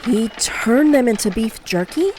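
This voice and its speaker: Woman playing a wisecracking sister, radio play, sassy, teasing, highish voice, slow delivery. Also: animated, a little mellow, slight background noise. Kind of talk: audio drama acting.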